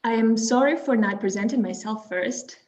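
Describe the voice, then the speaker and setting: A woman's voice: a little high, normal speed, darkish tone. A young woman from Croatia moderating an online webinar, friendly and hesitant with many fillers. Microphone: video call. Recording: muffled video call audio.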